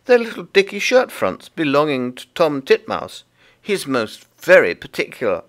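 A man's voice: fairly high pitch, huge pitch swings, normal speed, pitched above the performer's own voice. A man voicing a fussy, polite, stout little hedgehog washerwoman in a storybook, with a flustered, deferential manner. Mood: busy, proud. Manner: bobbing, deferential, flustered, eager to explain. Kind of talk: storybook character voice.

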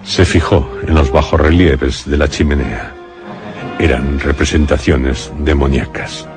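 A man's voice: a bass voice, steady tone, a little slow, music in the background. A man narrating a gothic suspense radio drama in a grave, scripted style. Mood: grave, ominous. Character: grave male narrator of a gothic horror tale.

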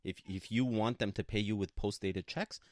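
A man's voice: fairly low pitch, steady tone, normal speed, no room sound, studio quality. A man co-hosting a conversational radio advice show, speaking in an emphatic, assertive way and repeating points for stress. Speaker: radio show co-host and broker. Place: radio studio.

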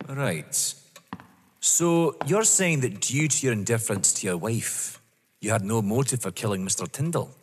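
Man playing husky, dogged police inspector. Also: medium pitch, lively intonation, measured pace. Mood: probing. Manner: formal, deferential but probing. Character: politely persistent, dogged male police inspector. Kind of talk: audio drama acting. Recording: echoey hall-like reverb.

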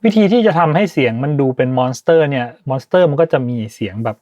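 A man hosting an informal software tutorial, speaking politely and hesitantly as he thinks aloud. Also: a little high, sing-song, quick pace, no echo, highs cut off. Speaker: tutorial host, sound designer.

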